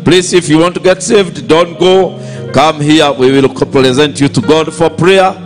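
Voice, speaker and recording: A man leading a night crusade in a stadium, speaking in short booming phrases for an interpreter. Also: measured pace. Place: large outdoor stadium at night. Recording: music playing behind, stadium PA.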